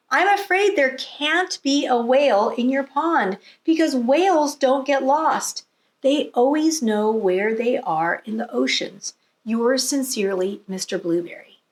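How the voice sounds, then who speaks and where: A woman voicing a polite, firm male schoolteacher in a storybook, patient, formal and matter-of-fact. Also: high-pitched. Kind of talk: storybook read-aloud.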